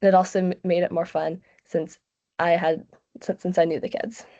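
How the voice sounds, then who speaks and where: A woman's voice: fairly low pitch, flat delivery, normal speed, dark tone. A sixteen-year-old girl from the United States, giving a casual student presentation with lots of "like" fillers. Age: teens. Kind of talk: online presentation. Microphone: video call. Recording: muffled lo-fi video call audio.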